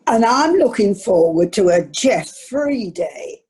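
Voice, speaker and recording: A woman playing a spoilt, wheedling wife with a husky voice in an amateur radio comedy. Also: medium pitch, sing-song, slow pace, darkish tone, full character voice, poor audio. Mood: smug, teasing. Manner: gushing, pointed, complaining.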